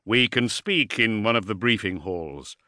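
A man voicing a towering, grave warlord in an audiobook, with formal, measured authority. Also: fairly low pitch, lively intonation, pushed and bright, no room sound, studio quality. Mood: commanding.

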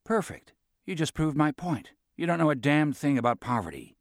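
Male reader as brash, money-hungry lawyer; blunt, mocking, lively delivery. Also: fairly high pitch, moderate pace, dry recording, studio quality, pitched above the performer's own voice. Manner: blunt and needling, swears casually. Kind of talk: audiobook dialogue reading.

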